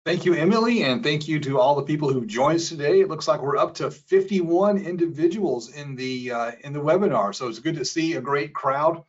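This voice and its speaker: A man's voice: on the high side, brisk, few pauses. A man moderating a webinar, easygoing and stumbling over a few phrases as he introduces the speaker. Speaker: moderator, associate professor. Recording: dull lo-fi webinar audio.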